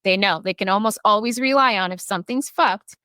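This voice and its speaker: A woman's voice: mid-range voice, fairly quick, bright, forceful tone, smooth, no room sound. A woman guest on a podcast, telling personal stories in a frank, talkative way and swearing casually.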